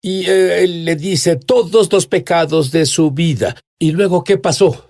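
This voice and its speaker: A man's voice: fairly high pitch, measured pace, vocal fry, darkish tone, dry recording, studio quality. A man hosting an interview, prompting his guest with emphatic questions. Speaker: show host.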